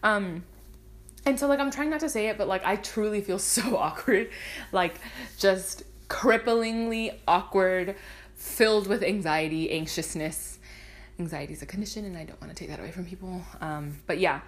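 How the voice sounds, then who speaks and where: A woman's voice: medium pitch, moderate pace. Rambling self-deprecating young woman podcaster. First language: Spanish. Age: 20s. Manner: rambling, self-deprecating, swears freely, goes on tangents. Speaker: podcast host. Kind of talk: casual solo podcast monologue.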